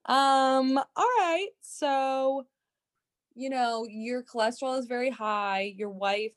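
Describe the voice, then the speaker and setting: A woman playing a concerned doctor in improvised comedy, talking in a halting, conversational way. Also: high-pitched. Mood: concerned, hesitant. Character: hesitant, concerned doctor judging a couple.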